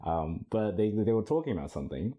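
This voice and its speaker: A man's voice: fairly low pitch, big pitch swings, brisk, warm tone. A man co-hosting a podcast, speaking hesitantly and thinking aloud. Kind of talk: casual podcast chat.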